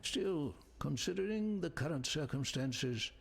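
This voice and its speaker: Gravelly, bookish scholar. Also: male voice, a little high, expressive, full character voice. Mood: thoughtful, skeptical. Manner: measured, bookish, formal. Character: learned, measured male scholar in a fantasy world. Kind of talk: video game dialogue acting.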